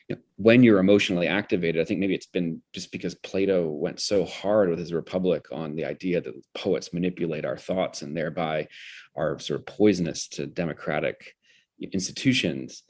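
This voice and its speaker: A man with a low-pitched voice talks as an interview guest. He is articulate and intellectual, thinking ideas through aloud and sometimes restarting a phrase as he searches for wording. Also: brisk, a little mellow. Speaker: interview guest, theater director. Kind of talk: reflective conversational explanation.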